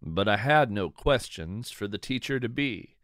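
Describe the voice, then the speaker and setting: A man reads literary narration in a measured, deliberate way. His pace is slow, and his pitch moves with lively expression. The recording is dry, with very little room sound around the voice. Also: no room sound. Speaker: podcast host and narrator. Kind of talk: scripted narration, poetry reading and storytelling.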